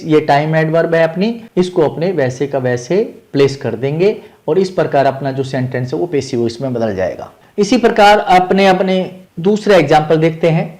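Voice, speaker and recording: An Indian man with a slightly high voice gives a grammar lesson. He is methodical and instructive, restating each key point and dropping English terms into his explanation. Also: darkish tone.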